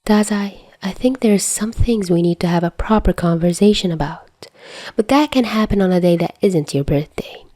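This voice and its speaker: A woman voicing a firm but caring mother of a teenage boy in a story reading, stern yet gently concerned. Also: medium pitch, normal speed, no echo, natural voice.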